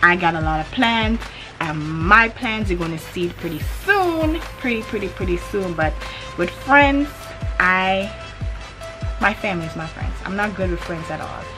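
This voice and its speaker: A young Grenadian woman talks straight to the camera, her voice sitting a little low for a woman. She is animated and emphatic, circling back to repeat her points. Music plays clearly underneath her speech. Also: normal speed. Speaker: vlogger. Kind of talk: casual to-camera chat. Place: indoors in front of a hanging cloth backdrop. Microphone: camera in front at head-and-shoulders framing.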